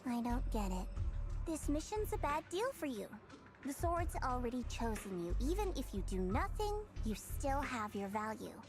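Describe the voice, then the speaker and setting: A woman's voice: a little high, big pitch swings, normal speed. A woman playing a blunt, matter-of-fact young woman with a cool temper in an anime-style video game. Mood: curt, aloof. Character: blunt, cool young woman who hides her concern. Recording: music behind the voice.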